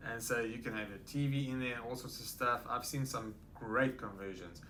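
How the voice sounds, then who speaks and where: A man's voice: mid-range voice, moderate pace, bright, forceful tone, a little hiss or hum. A man from South Africa with a South African accent, confidently explaining home buying in an office interview. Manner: practical, confident, advising with asides. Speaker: real estate sales executive. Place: real estate office.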